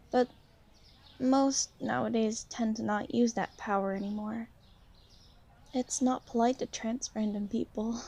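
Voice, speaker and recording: A woman playing a shy, gentle young vampire in an ASMR roleplay, speaking softly and hesitantly to the listener. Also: a little high. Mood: earnest, gentle. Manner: hesitant, soft-spoken, gently halting. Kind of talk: ASMR roleplay, soft intimate talk to the listener.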